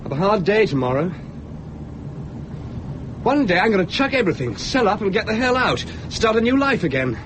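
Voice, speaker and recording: An actor playing a restless, reckless husband with a secretive edge in a radio thriller. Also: male voice, high-pitched, sing-song, moderate pace, long pauses. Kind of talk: radio drama acting. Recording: car engine noise, old radio play.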